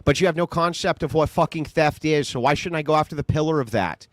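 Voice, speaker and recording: A man's voice: on the high side, fast, dry recording. A man arguing in a heated debate, combative and sarcastic, mocking his opponent. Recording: clip from a recorded debate.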